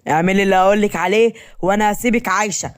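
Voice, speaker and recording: A woman's voice: mid-range voice, racing, natural voice. A woman voicing a threatening, slyly teasing young male kidnapper in an audio story, with an Egyptian Arabic accent.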